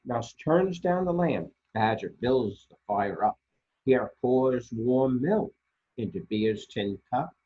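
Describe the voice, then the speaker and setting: A man reading children's picture books aloud as a storytime host, expressively and deliberately, with dramatic pauses and a husky voice. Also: medium pitch, expressive, narrow, tinny audio. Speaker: storytime reader for a library.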